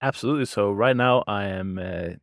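A man's voice: fairly low pitch, a little fast, fairly bright tone, dry recording, clean studio sound. Easygoing man in his thirties. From Denmark. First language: Danish. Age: 30s. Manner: easygoing, many uh fillers and restarts. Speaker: podcast guest. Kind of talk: casual interview answers.

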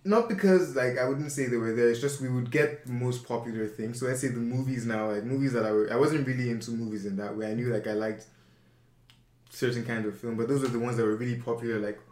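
A man's voice: mid-range voice, fast, darkish tone, roomy sound. A young man, chatting casually as an interview guest, full of fillers and self-corrections. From Nigeria.

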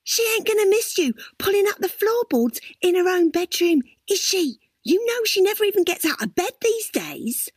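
A woman's voice: very high-pitched, very animated, normal speed, hardly any pauses, no room sound, pitched above the performer's own voice. A woman voicing a sly young female carer who coaxes in a sweet, wheedling tone.

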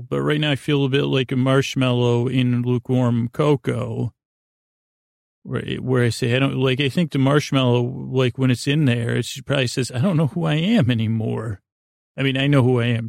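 Male sleep-podcast host, creaky mid-range voice, lulling and meandering delivery, very dry close recording. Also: mid-range voice, no echo. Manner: mellow, lulling, halting with many tangents. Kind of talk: rambling, meandering bedtime monologue.

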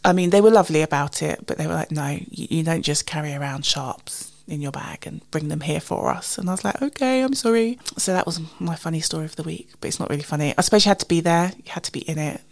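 Casting: female British podcast host, slightly low voice, a bit congested with a cold, informal and chatty delivery. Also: quick pace, gravelly, hardly any pauses. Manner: informal, self-deprecating, recounts conversations in quoted dialogue. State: slightly husky and sniffly with a cold. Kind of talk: casual chatty solo podcast.

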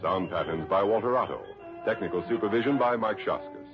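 A man's voice: fairly low pitch, fairly quick. An American man announcing credits in the scripted style of 1960s network radio. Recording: muffled old radio recording, music behind.

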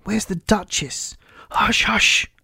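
A nervous little storybook rabbit, acted out by a narrator, timid and fearful. The voice is hushed and whispery, a little raised in pitch, with an anxious, secretive manner. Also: vocal fry, breathy edge, no room sound, studio quality. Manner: hurried, nervous, stammering.

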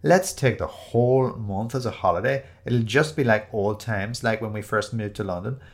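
A man's voice: fairly low pitch, moderate pace, close to an everyday voice. A man voicing a chatty, bossy young woman who gushes in breezy, emphatic run-on chatter for an audio storybook. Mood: gleeful, insistent. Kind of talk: audio storybook character dialogue.

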